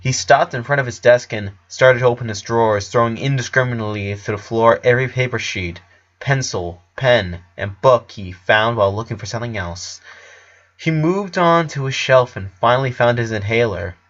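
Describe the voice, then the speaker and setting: Guy reading a story out loud, bright lively voice, mid pitch, bit lo-fi. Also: moderate pace. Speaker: narrator. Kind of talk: expressive story narration. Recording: slightly dull, lo-fi recording.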